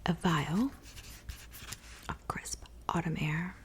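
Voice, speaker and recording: A woman playing a soft-spoken witch in an ASMR roleplay, whispering breathily and unhurriedly, as if to a client close by. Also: on the low side, slight background noise. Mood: soft, businesslike. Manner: unhurried, intimate, addressing the listener as a client. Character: soft-spoken witch mixing love potions in her cabin.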